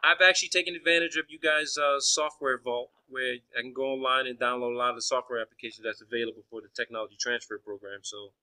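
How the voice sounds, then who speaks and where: A man's voice: mid-range voice, fairly even intonation, quick pace, hardly any pauses, pushed and bright, poor audio. A man arguing in a phone conversation as a caller, pressing his points insistently and often restarting his phrases. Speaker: caller questioning a space agency official.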